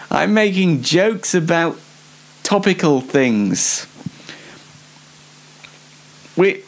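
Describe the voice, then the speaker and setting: A young British man hosting a show on his own, giving a casual, rambling comedic monologue in a self-deprecating way. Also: mid-range voice. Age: young adult. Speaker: solo host of an online talk show.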